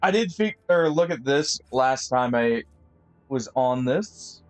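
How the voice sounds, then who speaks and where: A man streaming a game live, thinking aloud with pauses and fillers and chatting to his viewers. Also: medium pitch, lively intonation, faint music behind. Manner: friendly sign-off to viewers. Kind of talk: live gameplay commentary.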